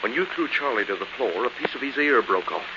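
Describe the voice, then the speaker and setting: A man's voice: mid-range voice, expressive, quick pace, close to an everyday voice. An actor playing an earnest, protective man with an urgent, polite and clipped delivery in 1940s radio thriller style. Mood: hushed, urgent. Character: earnest, protective man warning a young woman of danger. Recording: dull, noisy old 1940s radio recording.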